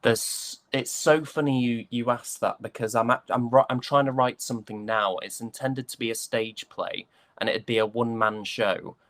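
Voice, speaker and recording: A man from Yorkshire with a softened British accent, chatting reflectively and hesitantly as an interview guest. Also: medium pitch, fairly even intonation, normal speed, hardly any pauses, no echo. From Yorkshire, England. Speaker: interview guest, stage and voice actor. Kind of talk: casual interview chat.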